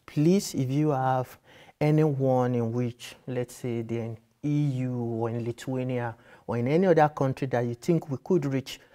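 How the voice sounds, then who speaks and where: Mellow-voiced guy, first language yoruba, walking folks through a uni form, repeats stuff patiently. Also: medium pitch. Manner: patient, explanatory, repeats and rephrases with restarts. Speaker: university admissions guide. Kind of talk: screen-share tutorial walkthrough. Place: office with computers, indoors.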